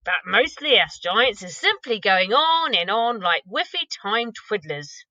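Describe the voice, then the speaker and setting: A huge, very old, kindly giant with tangled grammar, booming high and lively, as a woman reads him aloud. The delivery is bright and close, with a dull, lo-fi edge to the sound. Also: unhurried, no echo. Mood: storytelling.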